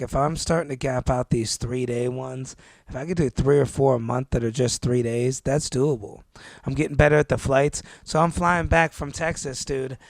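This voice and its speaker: A man co-hosting a podcast, telling travel stories in a laid-back, animated way and calling his listener dude and bro. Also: mid-range voice, creaky, dry recording. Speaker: podcast co-host. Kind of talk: casual chat. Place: New York.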